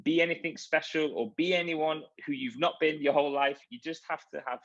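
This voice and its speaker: A man's voice: on the high side, fairly quick, fairly bright tone. Chatty man on a video call. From Liverpool, England. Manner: friendly, chatty, encouraging. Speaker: university chaplain and events coordinator. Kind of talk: informal online welcome talk. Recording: dull lo-fi video call audio.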